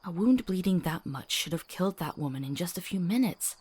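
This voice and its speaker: A woman playing a quick-witted, compassionate travelling companion in a fantasy visual novel. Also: lowish voice, expressive, a little mellow, husky. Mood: concerned.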